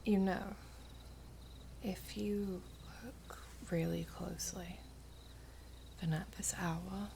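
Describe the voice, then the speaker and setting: A woman playing a wistful, lovelorn elven princess in a whispered ASMR roleplay, soft, breathy and halting. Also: fairly low pitch, noisy background.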